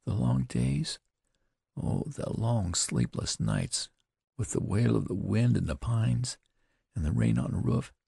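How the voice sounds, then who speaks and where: A man's voice: on the low side, big pitch swings, slow, vocal fry, mellow, breathy edge, dry recording, studio quality, pitched above the performer's own voice, exaggerated voice. A man voicing a young frontier woman who begs her brother not to leave, speaking emotionally. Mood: pleading. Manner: imploring, emotional.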